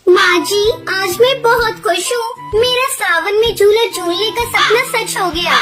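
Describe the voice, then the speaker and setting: A woman voicing a young married sparrow in a cartoon story, childlike, pouty and eager in an expressive, insistent manner. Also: very high-pitched, unhurried. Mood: happy. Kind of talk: animated cartoon story dialogue. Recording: loud background music.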